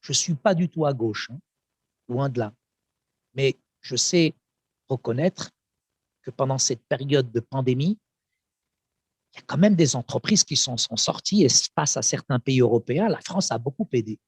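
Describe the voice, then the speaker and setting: A man with Arabic as his first language speaks as a pastor, fervent and emphatic, pausing and repeating words for weight. His voice is mid-pitched and somewhat husky. The sound is dry and close, with little room echo. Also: lively intonation. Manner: fervent, emphatic, with repetitions and pauses. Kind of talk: encouraging testimony address.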